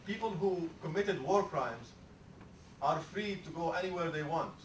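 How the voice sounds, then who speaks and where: A man moderating a panel, giving an impassioned speech with many halting restarts, in a lecture hall. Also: a little high. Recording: roomy hall sound from far off, faint hiss.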